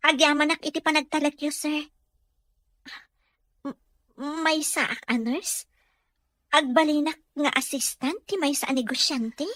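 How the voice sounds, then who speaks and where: A woman's voice: high voice, a little slow, long pauses, a bit bright, no room sound. A woman with a Filipino accent playing an earnest, deferential nurse in a radio drama. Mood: surprised, grateful. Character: deferential female nurse caring for her boss, earnest. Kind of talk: Ilocano radio drama acting.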